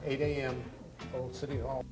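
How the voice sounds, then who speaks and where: A man's voice: medium pitch, flat delivery, moderate pace. A male police officer giving formal procedural instructions. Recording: echoey, music behind.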